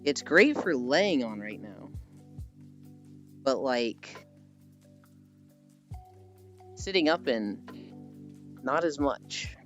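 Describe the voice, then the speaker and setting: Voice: medium pitch, sing-song, drawn-out words, long pauses. A livestreamer chatting casually and answering viewers in a rambling, playfully teasing way with mild swearing. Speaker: livestreamer, host. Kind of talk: casual livestream chat, reading and answering chat messages. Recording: dull lo-fi audio with music. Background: music.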